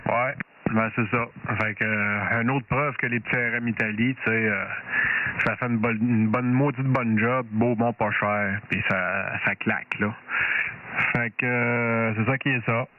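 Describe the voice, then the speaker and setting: A thin, narrow-band radio signal carries a man with a Quebec French accent. His pitch sits in the middle, and he chats in a colloquial, matter-of-fact way like a ham operator. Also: steady tone, unhurried. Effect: radio transmission. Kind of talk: casual technical chat over ham radio.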